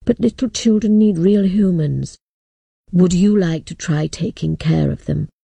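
A woman voicing a solemn, apologetic old man who leads a council, speaking slowly and gravely. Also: on the low side, warm tone, dry recording, heavily acted. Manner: slow, grave and regretful.

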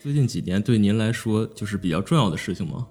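Male podcast host, quick delivery, mellow dark tone, polite interviewer. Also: lowish voice, expressive, husky, faint music behind. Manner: polite, rambling questions. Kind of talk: interview questions.

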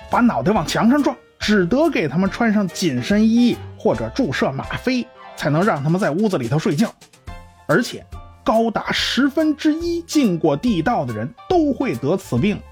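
Give it as a man playing a learned scientist who explains everything: keep your voice a little high and very animated, lecturing with soft music beneath. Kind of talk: amateur radio drama. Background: faint music.

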